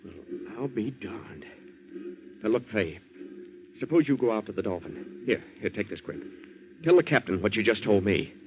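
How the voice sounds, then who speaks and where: Young male dockside tough, played by a man, blunt and slangy, swings widely in pitch, vintage radio drama, muffled sound. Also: lively intonation, moderate pace, natural voice. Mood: disbelieving. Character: hot-headed, tough young waterfront man, decent and self-blaming. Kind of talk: 1940s radio drama acting. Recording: dull 1940s radio recording.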